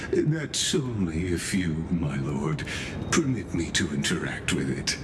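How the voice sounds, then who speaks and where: Deep-voiced, courteous scheming scholar. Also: male voice, very animated, noisy background. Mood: courteous, composed. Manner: formal, deferential, measured, deliberate. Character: courteous, scheming machine-born male scholar, formal and composed. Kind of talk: scripted video game character dialogue.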